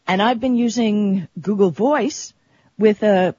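Woman radio host chatting tech, kinda husky, pretty opinionated and emphatic. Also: medium pitch, slow, dark tone, dry recording, lo-fi sound. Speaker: internet radio show co-host. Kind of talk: casual tech talk.

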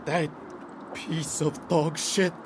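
A hesitant, literal-minded man in a comic audio drama, his voice a little high. Faint water and boat sounds sit behind him. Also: little pitch movement, extremely slow, background noise. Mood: uneasy. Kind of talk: audio fiction comedy acting.

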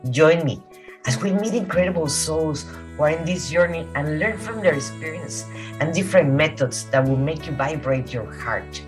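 A woman hosting a podcast, introducing her guest at an unhurried pace with small restarts as she searches for words. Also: sits low, music in the background. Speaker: podcast host. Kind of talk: warm spoken podcast introduction.

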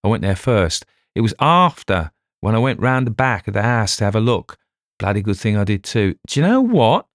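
A man's voice: lowish voice, sing-song, normal speed, dry recording, clean studio sound, pitched above the performer's own voice. A man voicing a lazy, foul-tempered police detective who grumbles and blusters coarsely. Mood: blustering.

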